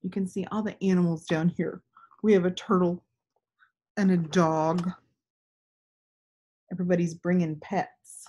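A woman's voice: lowish voice, steady tone, measured pace, pauses often, mellow, muffled recording, a little hiss or hum. A woman in her thirties reading aloud for young children, teacherly and prompting with questions. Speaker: read-aloud storyteller. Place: small living room indoors.

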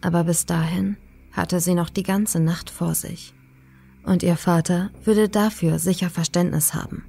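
Low-pitched woman narrating horror, soft-toned. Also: fairly even intonation, a little slow, gravelly, husky. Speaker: audiobook narrator. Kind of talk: dramatic scripted horror narration. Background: faint atmospheric music.

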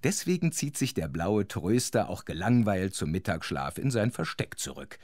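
A man's voice: fairly low pitch, very animated, moderate pace, a little mellow, no room sound, clean studio sound. A man playing a chatty, sympathetic storyteller, narrating a children's audio drama with wry, conversational asides. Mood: wry curiosity.

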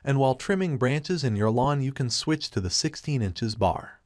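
A man's voice: medium pitch, no echo, studio quality. A man narrating a scripted product review voice-over, reading evenly from a script.

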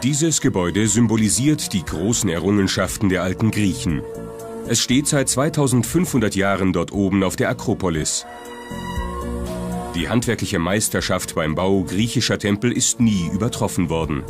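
A man narrates a scripted documentary in a measured, dramatic way. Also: on the low side. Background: prominent background music.

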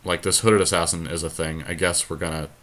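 A man's voice: low voice, steady tone, fairly quick, fairly bright tone. A man giving casual commentary on a game, thinking his moves through aloud. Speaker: podcast host and card game player.

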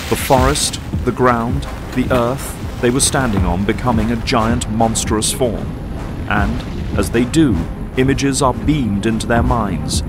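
A man narrating a superhero audio drama in a grave, measured, scripted storytelling style. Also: medium pitch.

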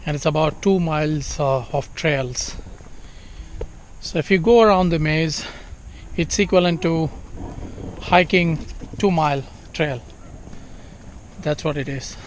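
A man's voice: a little high, a little hiss or hum. A man in his thirties with a Tamil accent, casually narrating a travel vlog outdoors with lots of fillers, filmed on a handheld camera at arm's length. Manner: chatty, filler-heavy, switches between languages. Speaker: vlogger. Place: outdoors at a farm and corn maze. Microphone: handheld action camera at arm's length.

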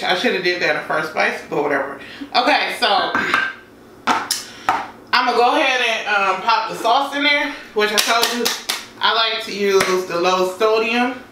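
A woman's voice: fairly high pitch, noisy background. A woman in her thirties, chatting casually as she cooks at home. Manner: chatty, relaxed, folksy phrases. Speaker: home cooking vlogger. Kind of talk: casual cooking demo. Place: home kitchen, indoors. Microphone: far camera mic. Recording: roomy kitchen, distant mic, clattering dishes.

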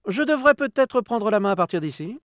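High, lively cocky cheetah sidekick. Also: male voice, a little fast, no echo, studio quality. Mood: chummy, boastful. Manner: breezy, boastful, chummy. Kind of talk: video game character voice acting in French.

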